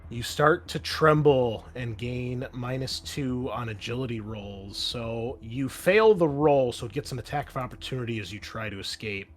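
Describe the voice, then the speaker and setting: Male game master, mid-pitched, conversational with dramatic descriptive narration. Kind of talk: narrating and refereeing a tabletop roleplaying game.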